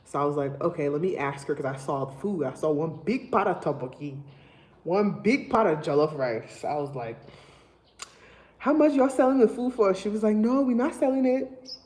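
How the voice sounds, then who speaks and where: A woman's voice: lowish voice, moderate pace. A young woman from Liberia with a Liberian accent, chatting casually and emphatically to her viewers on a livestream. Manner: animated, emphatic storytelling. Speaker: vlogger.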